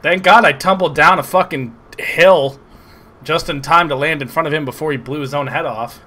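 A man giving casual, excitable, sarcastic commentary over a video game playthrough, swearing often. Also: fairly high pitch, moderate pace. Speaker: gameplay commentator. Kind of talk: casual let's play commentary.

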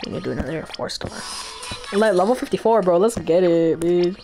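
A woman's voice: fairly low pitch, a little fast, music in the background. A woman streamer giving casual, slangy gameplay commentary with plenty of swearing.

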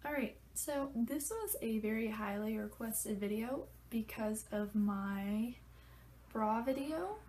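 A woman's voice: on the high side, slow, darkish tone. A young woman in her twenties, congested, chatting casually and loosely to camera in a small bedroom, swearing freely. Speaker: vlogger.

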